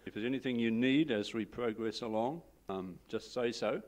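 A man hosting at a church, welcoming a guest pastor in a hesitant way with many pauses and fillers. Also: mid-range voice. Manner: hesitant, full of uhs. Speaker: church host. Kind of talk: welcome announcement.

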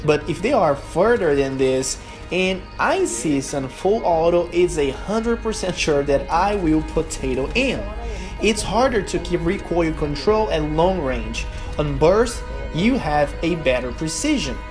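A man's voice: a little high, animated, unhurried, music in the background. A young man from Brazil with a Brazilian Portuguese accent, speaking English as a second language as he narrates a gaming tutorial in an earnest, emphatic way. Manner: earnest, emphatic, non-native phrasing. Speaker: gaming youtuber. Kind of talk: gameplay tutorial voice-over.

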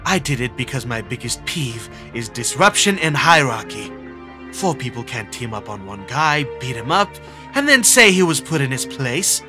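A man's voice: medium pitch, very animated, normal speed, over music, close to an everyday voice. A man voicing an arrogant, commanding teenage schoolboy in a comic dub, curt and cold. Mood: stern, probing. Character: arrogant, commanding high-ranking teenage schoolboy obsessed with hierarchy. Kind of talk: dramatic comic dub acting.

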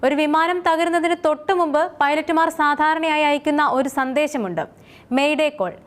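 A woman's voice: sits high, moderate pace. A woman reading a scripted explainer as its narrator.

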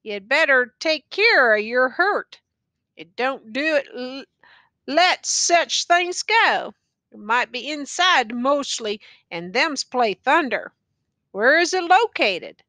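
A battered male soldier rambling in a slurred, folksy drawl, as a woman reader puts him on. His voice rides noticeably higher than the narration and swoops up and down as he frets, with a bright, forward edge. The words come slowly and drag. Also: big pitch swings, no echo, heavily acted. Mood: rambling, fretful. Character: rambling wounded old-fashioned male soldier.

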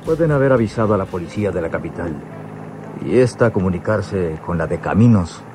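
Low-voiced, uneasy outlaw henchman. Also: expressive, brisk, over music. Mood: worried. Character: uneasy male outlaw henchman, cautious and worried. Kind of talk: radionovela acting. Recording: noisy old radio recording.

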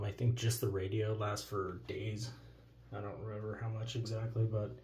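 A man's voice: fairly low pitch, darkish tone. An American man giving a casual, offhand product demonstration as a reviewer, recorded indoors at a countertop. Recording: noticeable noise, handling clicks.

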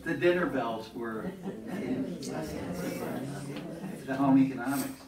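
A man's voice: medium pitch, expressive, slow pace. An older man giving a brief history in a hesitant way, full of fillers. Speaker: speaker. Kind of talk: historical overview. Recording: echoey room, distant mic, crowd.

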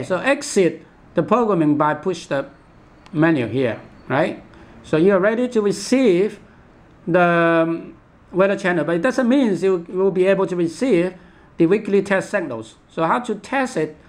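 A man giving an unscripted step-by-step product demonstration in a halting, repetitive instructional manner. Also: high-pitched. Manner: halting, repetitive, instructional, with imperfect English grammar.

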